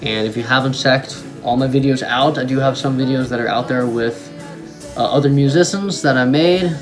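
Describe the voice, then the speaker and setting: A man's voice: medium pitch, normal speed, over music. A young man, a German native speaker, casually talking about his own song with many ums and restarts. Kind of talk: casual talk to camera.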